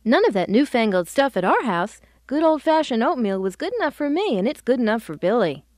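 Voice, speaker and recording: A woman's voice: on the high side, very animated, a little fast, darkish tone, no echo, clean studio sound. A woman playing a stubborn, old-fashioned mother in a parody radio commercial. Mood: brisk, dismissive.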